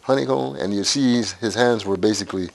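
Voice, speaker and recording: A man in his sixties from Canada, recalling his life story in a documentary interview, unhurried and halting with frequent pauses. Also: fairly low pitch, creaky, poor audio. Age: 60s.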